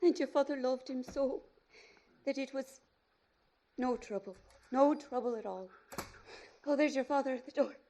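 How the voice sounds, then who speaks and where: A woman acting a gentle, grieving mother in a radio play, halting and tender as she puts on a brave face. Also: sits high, a little hiss or hum. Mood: tearful, wistful.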